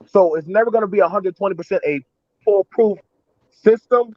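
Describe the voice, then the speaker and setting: A man with a high voice argues his point on a livestream panel. He often repeats and restarts his words, and his audio sounds dry and dull. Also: moderate pace, no room sound. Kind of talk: casual debate chat.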